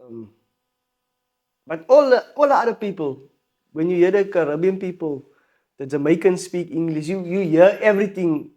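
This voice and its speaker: South African male musician guest, emphatic podcast talker, slightly higher voice. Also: moderate pace, long pauses. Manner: animated, emphatic, repeats phrases, laughs often. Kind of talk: casual podcast conversation.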